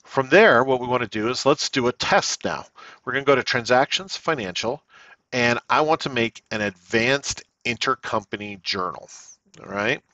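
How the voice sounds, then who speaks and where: A man giving an unscripted, explanatory software walkthrough in a conversational way, often checking in with the listener. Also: mid-range voice, lively intonation, moderate pace, no room sound, highs cut off. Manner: conversational, checks in with "okay?" and "all right?". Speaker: software tutorial presenter.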